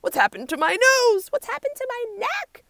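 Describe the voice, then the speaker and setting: A woman's voice: mid-range voice, lively intonation, normal speed, creaky, no room sound. A woman voicing a herd of proud, snorting warthogs in a storybook, boastful in manner. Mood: panicked.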